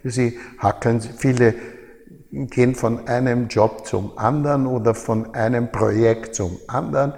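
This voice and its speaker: A man's voice: medium pitch, fairly even intonation, creaky, a little mellow. Older Austrian man in a reverberant hall. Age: older, over 70. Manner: halting, repeats and reformulates phrases. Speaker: interviewee, former journalist. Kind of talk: reflective interview recollection.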